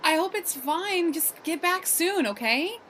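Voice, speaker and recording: Worried coworker played by a woman: very high, lively, anxious. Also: measured pace, a little hiss or hum, full character voice.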